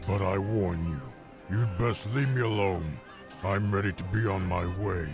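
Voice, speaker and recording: Man voicing a gravelly, deep-voiced ogre. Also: measured pace. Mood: wary, irritated. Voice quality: deep, grating. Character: large male ogre traveller, restrained but gruffly warning. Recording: narration over loud background music.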